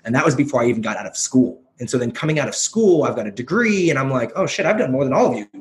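Energetic, self-deprecating man telling his story. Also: mid-range voice, very fast. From United States. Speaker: podcast guest. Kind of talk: casual chat.

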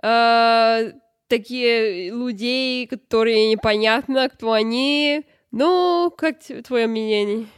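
High-voiced woman. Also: clean tone, dry recording, studio quality. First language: not Russian, speaks it as a learned language. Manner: halting, searching for words in a learned language. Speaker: podcast co-host. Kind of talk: casual podcast chat.

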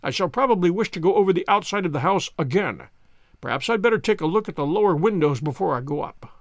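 A man voicing a courteous, composed gentleman detective who questions formally and keenly in an audiobook. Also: sits high, lively intonation, quick pace, no echo, clean studio sound. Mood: brisk, composed.

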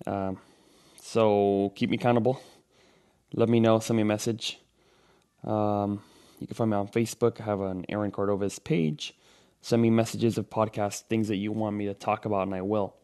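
A man's voice: on the low side, fairly even intonation, normal speed, dark tone, clear, resonant tone. A man in his thirties from Venezuela, hosting a solo podcast and giving earnest advice in a rambling way, full of ums and restarts. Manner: rambling, filler-heavy, earnest coaching. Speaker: podcast host. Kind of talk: solo motivational podcast talk.

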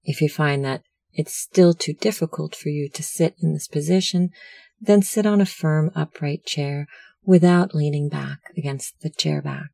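Meditation guide, unhurried, gravelly fry, measured and soothing, close dry sound. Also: medium pitch, a little mellow, dry recording. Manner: measured, soothing instructional delivery.

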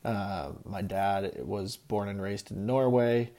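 A man's voice: on the low side, steady tone, takes it slowly, warm tone. A man from Seattle hosting a casual chat, talking in rambling, enthusiastic lists. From Seattle, USA. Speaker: podcast host, real estate agent.

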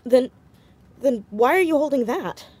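A determined teenage girl detective in an audio drama, anxious and stammering but pushing on. Her voice is fairly high, set in noticeable ambient sound. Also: animated, a little slow, background noise. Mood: frightened, demanding. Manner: hesitant, stammering yet insistent. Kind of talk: naturalistic audio drama acting.

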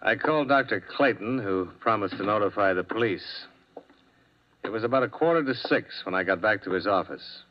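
A man's voice: lowish voice, lively intonation, moderate pace, lightly acted. A man playing a dry, wry insurance investigator. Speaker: narrator and lead. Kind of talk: 1950s radio acting. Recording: muffled old radio broadcast.

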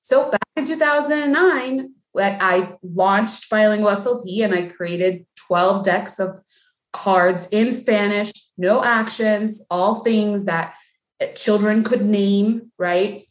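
A woman's voice: mid-range voice, takes it slowly. A woman from Mexico, a guest on a podcast, talking casually with lots of "like" and "um" fillers. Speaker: guest, bilingual speech language pathologist. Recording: echoey room.

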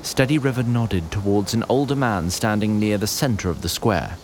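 A man's voice: on the low side, normal speed. A man reading scripted fantasy narration in a literary storytelling manner. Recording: noisy background ambience.

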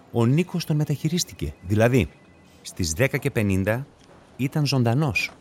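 An actor in a radio play playing a patient, methodical police investigator who questions and explains in a measured way. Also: male voice, medium pitch, a little mellow, husky. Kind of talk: radio drama acting.

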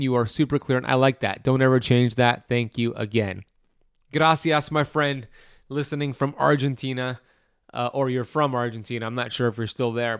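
A man's voice: mid-range voice, clear, resonant tone, no echo, clean studio sound. A man hosting a health podcast, reading promotions and introducing his guest in an upbeat, enthusiastic way. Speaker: podcast host. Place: home in Miami.

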